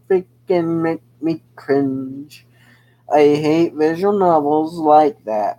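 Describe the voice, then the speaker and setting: Slow, wildly swinging game streamer voice. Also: mid-range voice, a bit bright. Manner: exclamatory, swearing, repeating phrases, halting. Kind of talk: live visual novel playthrough commentary, reading lines aloud.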